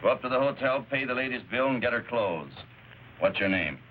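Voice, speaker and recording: An actor playing a cool, commanding middle-aged crime boss in a hard-boiled 1940s film noir style. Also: male voice, medium pitch, lively intonation, brisk, natural voice. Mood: giving orders. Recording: noisy, dull old film soundtrack.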